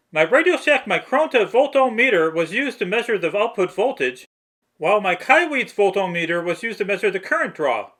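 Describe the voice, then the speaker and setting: A man's voice: high-pitched, fairly bright tone. A man reading a scripted, step-by-step technical tutorial narration.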